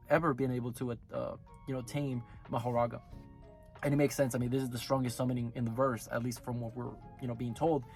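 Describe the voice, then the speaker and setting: A man runs his anime review video in a casual, rambling way, often stopping to correct himself mid-sentence. He is sick, and his voice sounds hoarse and raspy throughout. His voice sits at an ordinary middle pitch, with soft music faintly underneath.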